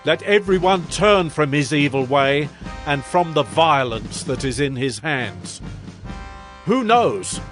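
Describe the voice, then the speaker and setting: Slow, high-voiced solemn king. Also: animated, fairly bright tone, soft background music. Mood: grave, penitent. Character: solemn male king of Nineveh issuing a decree. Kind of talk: dramatized audio Bible acting.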